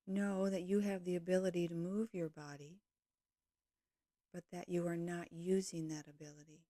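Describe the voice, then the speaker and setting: Low, mellow woman guiding meditation. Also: dry recording, studio quality. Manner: calm, measured, gentle delivery with short phrases. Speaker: yoga nidra teacher guiding a meditation. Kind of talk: guided meditation, slow instructional narration.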